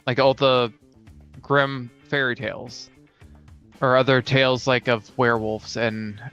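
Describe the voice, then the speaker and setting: A man's voice: mid-range voice, level tone, unhurried, fairly bright tone, smooth. A man co-hosting a casual discussion of tabletop role-playing games, on a lo-fi recording. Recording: dull lo-fi sound, music underneath.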